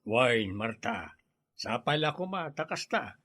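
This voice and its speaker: A man's voice: medium pitch, sing-song, slow pace, fairly bright tone, slightly rough, no echo, clean studio sound, full character voice. A man with a Filipino Ilocano accent playing an older, practical, obliging village employer in a radio comedy drama. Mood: reflective.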